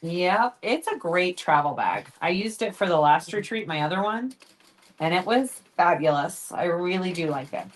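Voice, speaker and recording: A woman's voice: on the low side, animated, moderate pace, a little hiss or hum. A woman hosting a live sewing stream, chatting casually, laughing often and reading out viewer comments.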